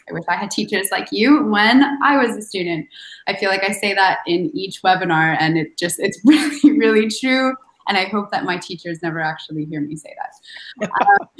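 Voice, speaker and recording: A woman hosting an online webinar, introducing guests with friendly enthusiasm. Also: fairly low pitch. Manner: warm, enthusiastic, lightly laughing. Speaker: webinar host. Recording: echoey room.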